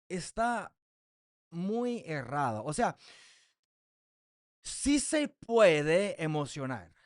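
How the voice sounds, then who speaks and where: Slow, clear-voiced preacher, Spanish as second language. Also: male voice, sits high, dry recording, studio quality. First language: English. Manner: slow, deliberate reading of scripture with frequent fillers. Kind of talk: Bible teaching sermon.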